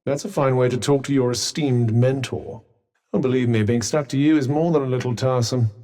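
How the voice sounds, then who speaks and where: A man's voice: mid-range voice, brisk, warm tone, natural voice. A man voicing a sardonic talking cuff. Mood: wry. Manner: dry, wry and theatrical, with archaic phrasing. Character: sardonic, talkative magical cuff, male mentor. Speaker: voice actor. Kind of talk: game character voice lines.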